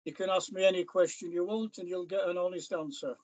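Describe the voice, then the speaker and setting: A man's voice: sits high, steady tone, fast talker, a little mellow, poor audio. An older man with a British accent, a falconer and author telling stories plainly with dry wit as a podcast guest. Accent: British English. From Britain. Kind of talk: casual interview talk.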